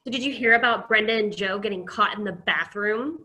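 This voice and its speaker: A woman's voice: medium pitch, fairly quick, sharp tone, natural voice. Woman playing Martha, snide teen girl. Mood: gossipy. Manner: gossipy, snide. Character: mean gossipy teenage girl.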